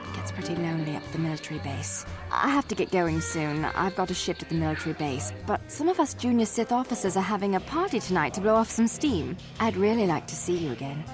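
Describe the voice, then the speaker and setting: Casting: friendly off-duty young officer for a game, played by a woman, slightly low, casual, music underneath. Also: natural voice. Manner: chatty, open, casual. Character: friendly, lonely young female junior military officer. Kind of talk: video game dialogue acting.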